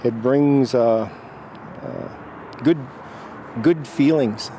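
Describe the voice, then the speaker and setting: A man's voice: mid-range voice, drawn-out words, warm tone, a little hiss or hum. A man interviewed outdoors at an event, hesitant and searching for words. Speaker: walk participant. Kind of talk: interview answers.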